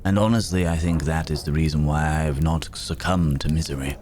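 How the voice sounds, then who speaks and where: A man performs a world-weary gentleman werewolf with an extremely low voice. He talks in formal, genteel phrases, musing and pausing with small hums. It is an intimate ASMR fantasy roleplay addressed to a companion. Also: fairly even intonation. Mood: wistful, reflective. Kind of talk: ASMR roleplay acting, spoken to the listener.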